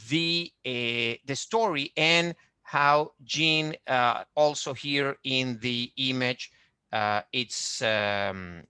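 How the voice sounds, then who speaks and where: Venezuelan-accented male lecturer, slow, bright and dry, plenty of uhs and ums. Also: mid-range voice, clean tone, no echo. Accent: Venezuelan Spanish. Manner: hesitant, many fillers, friendly explaining. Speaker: genealogist presenting a webinar. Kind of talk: online lecture with shared screen.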